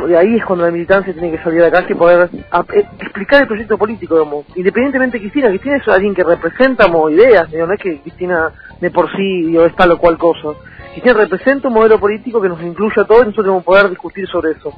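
A young man with a Buenos Aires accent speaks in a high voice as a political guest on the radio. His talk is quick but halting, with many false starts. The sound is dull and lo-fi, with music behind it. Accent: Buenos Aires Argentine. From Avellaneda, Buenos Aires, Argentina. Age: young adult. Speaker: political candidate being interviewed.

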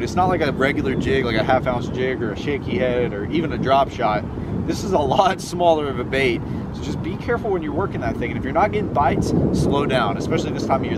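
A young man, casually and enthusiastically explaining fishing in a folksy way as a video host. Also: a little high. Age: young adult. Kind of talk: casual instructional fishing vlog. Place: outdoors on a pond bank. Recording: outdoor wind and ambient noise.

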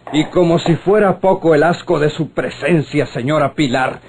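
An irascible Mexican secret police colonel in an old radio drama. His voice is fairly high and husky, with an imperious manner. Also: moderate pace, a little mellow. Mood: angry.

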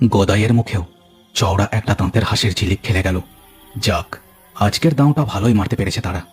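A man's voice: fairly low pitch, fairly quick, faint music behind. A man telling a cartoon ghost story as narrator, with dramatic scripted delivery.

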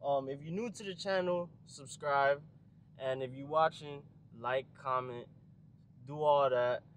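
A young man vlogging casually to his viewers in heavy street slang, getting loud and hyped outdoors around cars. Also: medium pitch, measured pace, slight background noise. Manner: hyped slang-heavy street talk, yelling when excited. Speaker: car vlogger. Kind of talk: casual vlog talk to viewers.

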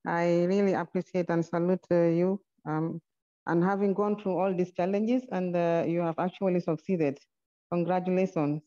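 Dark-voiced woman asking a formal question. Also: fairly low pitch, fairly even intonation. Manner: formal, courteous, halting. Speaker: audience member asking a question. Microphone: video call. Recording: dull video-call audio.

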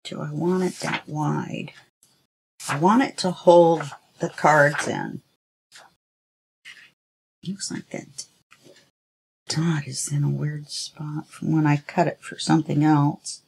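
A woman's voice: low-pitched, level tone, takes it slowly, gravelly, long pauses, breathy edge. A woman hosting a craft tutorial, casually chatting to herself as she works, a little sniffly. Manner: chatty, talks to herself while working. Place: craft table at home. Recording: noisy home recording.

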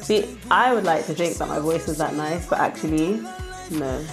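A young woman co-hosting a relaxed video chat, amused and teasing. Her voice sits a little low for a woman and is picked up by a webcam in a small room. Music plays clearly in the background. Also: moderate pace. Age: young adult. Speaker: vlog co-host. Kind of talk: casual chat. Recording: music playing behind.

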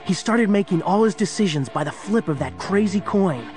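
Higher-pitched male story narrator. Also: expressive, normal speed, darkish tone, faint music behind. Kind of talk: dramatic scripted storytelling narration.

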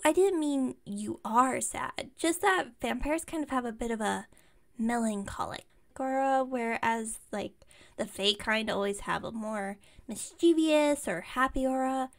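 Woman acting as high-voiced, bubbly chatty witch. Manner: bubbly, rambling, friendly. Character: chatty, enthusiastic, slightly incompetent young witch shopkeeper. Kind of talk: ASMR roleplay audio, one-sided chat with listener.

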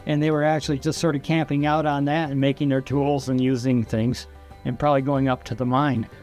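An older man gives measured explanations as an archaeologist in a documentary interview. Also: mid-range voice, little pitch movement, darkish tone. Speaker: archaeologist, stone-tool analyst. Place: outdoors, open yard at dig site. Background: music.

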